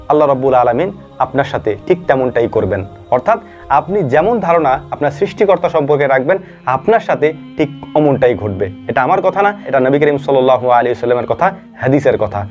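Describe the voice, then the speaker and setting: A man's voice: on the high side, animated, quick pace, a little mellow. A man giving earnest, informal explanatory commentary, pressing his points with emphatic repetition. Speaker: video narrator and commentator. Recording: dull lo-fi voice track over music. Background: music.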